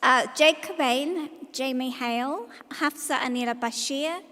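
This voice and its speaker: Higher-voiced woman hosting in an echoing hall. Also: unhurried, fairly bright tone. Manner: chatty, informal thanks with fillers. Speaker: event host. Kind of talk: closing remarks at a live event. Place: live event venue. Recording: long reverb of a live hall.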